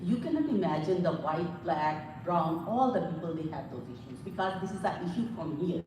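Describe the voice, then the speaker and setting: A woman's voice: on the low side, animated, normal speed. A middle-aged woman from Pakistan, a native Punjabi speaker with a Pakistani accent, giving an earnest, informal talk as a psychologist to a live audience. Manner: earnest, repeats points, mixes in Urdu phrases. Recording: echoey hall from far off with room noise.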